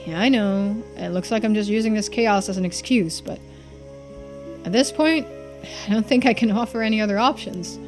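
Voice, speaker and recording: A woman's voice: medium pitch, normal speed, lightly acted. A woman voicing a shrewd, laid-back young man in video game dialogue, speaking matter-of-factly and casually. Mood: serious, composed. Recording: game music behind the voice.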